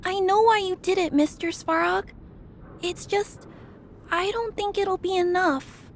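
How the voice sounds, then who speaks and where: An actress playing a gentle, earnest little girl in a video game, polite and hesitant, worried for others. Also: very high-pitched, steady tone, a little slow, a little hiss or hum. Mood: worried, pleading. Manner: soft-hearted, polite, hesitant.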